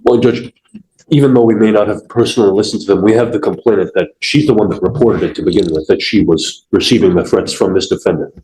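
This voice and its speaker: A man with a fairly low voice argues as a prosecutor, his words echoing in the courtroom. He explains deferentially, addressing the bench as he goes. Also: quick pace, mellow, slight background noise. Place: indoor courtroom. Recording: echoey courtroom audio.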